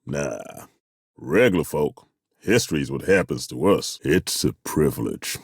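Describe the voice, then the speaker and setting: An actor playing a burly, good-humoured ageing masseur turned adventurer in a video game, with a husky voice and a folksy, self-deprecating, joking way of talking. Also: male voice, a bass voice, animated, no room sound, studio quality, full character voice. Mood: humbled, wondering. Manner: folksy, joking, chuckling, drawn-out hesitations. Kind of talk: video game character dialogue.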